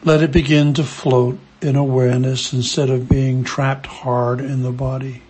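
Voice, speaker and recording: A man leading a guided meditation, speaking gently in short phrases with long pauses between them. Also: mid-range voice, level tone, slightly rough.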